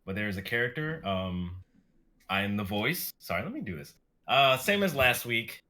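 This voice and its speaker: Young male performer, lowish pitch with a bright edge, offhand and halting, sorting out a read-through. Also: sing-song. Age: young adult. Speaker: writer-performer on a streaming show. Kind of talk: casual chat, organizing a script reading.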